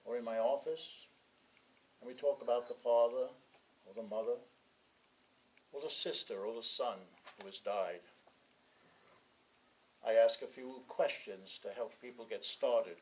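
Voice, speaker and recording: A man reading a written sermon aloud, with measured, deliberate phrasing and pauses between phrases. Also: medium pitch, a little mellow, poor audio. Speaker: sermon reader. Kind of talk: sermon read from a written text.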